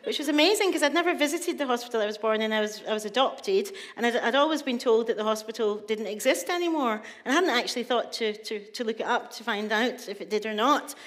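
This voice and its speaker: A woman's voice: on the high side, fast talker, hardly any pauses. A woman from Scotland with a Scottish accent, a writer telling stories live on stage in a chatty, laughing way. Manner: chatty, laughing, animated storyteller. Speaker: writer speaking at a festival. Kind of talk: live storytelling talk. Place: stage in a large hall. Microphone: stage microphone. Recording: long reverb of a big hall.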